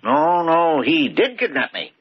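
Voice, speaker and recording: A man's voice: on the high side, big pitch swings, a little slow, breathy edge, exaggerated voice. An actor playing a stubborn, evasive retired old man with a menacing streak, hesitating and repeating himself in old-time radio style. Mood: cagey, flustered. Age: older. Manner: hesitant, evasive, muttering repetitions. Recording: muffled, noisy old radio broadcast.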